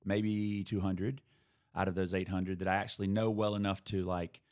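A man's voice: sits low, level tone, normal speed, darkish tone. A man hosting a casual podcast conversation, thoughtful and steering the talk with questions. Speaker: podcast host.

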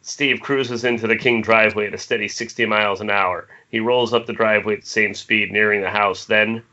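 Low-voiced man narrating a screenplay. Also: fairly even intonation, a little fast, pushed and bright. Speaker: narrator reading stage directions. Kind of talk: scripted screenplay narration, table read. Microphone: internet video call. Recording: dull lo-fi internet call.

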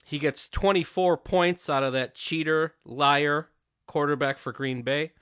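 A man with a bright, forceful voice leads a laid-back sports chat. He rambles a bit and trails off mid-thought. The sound is dry and close. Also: fairly high pitch, measured pace, clean tone, dry recording. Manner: relaxed, rambling, trails off often. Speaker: podcast host. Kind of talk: casual chat.

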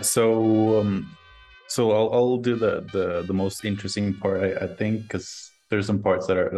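A man, a startup founder, answering interview questions casually, often repeating words and pausing with fillers. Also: deep voice, darkish tone.

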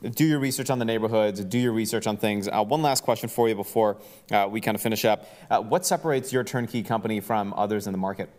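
A man's voice: on the low side, fast, darkish tone. A man hosting a podcast in a casual, jokey way, talking informally and stumbling here and there. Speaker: podcast host. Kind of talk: casual interview and event promo.

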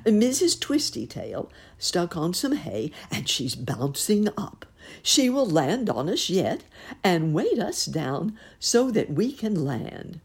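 Old storybook rabbit gent, read by a woman, jolly and playful, lively up-and-down voice. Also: lowish voice, slow pace, creaky, slightly rough, pitched above the performer's own voice, heavily acted. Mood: cheery.

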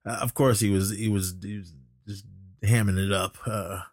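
A middle-aged man with a lowish voice talks casually as a podcast co-host. He rambles good-humouredly and is given to chuckling. The sound is dry, with very little room in it. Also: fairly even intonation, normal speed, a little mellow, no room sound. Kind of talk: casual chat reviewing wrestling.

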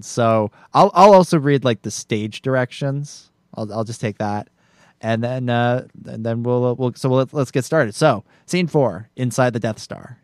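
A man's voice: medium pitch, a little mellow, no echo, clean studio sound. A man co-hosting a podcast, organizing a table read with many fillers. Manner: hesitant, filler-heavy directing. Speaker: podcast co-host. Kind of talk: casual chat.

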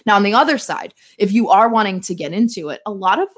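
A woman talks as a guest on a podcast in a medium-pitched voice. She is informal and candid, thinking her points through in loose, conversational phrasing. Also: animated, quick pace. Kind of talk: casual podcast chat.

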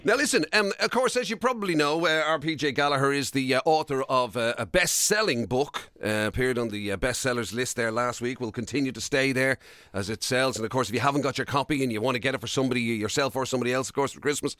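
A man's voice: mid-range voice, fast, fairly bright tone, dry recording, studio quality. An Irish man co-hosting a radio podcast in casual banter, chatty and wordy, with a teasing edge. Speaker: radio show co-host.

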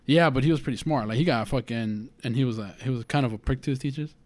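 Fast-talking young man, casual. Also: a little mellow, no echo. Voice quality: high voice. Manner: rapid, profane storytelling. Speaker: podcast co-host. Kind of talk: casual chat.

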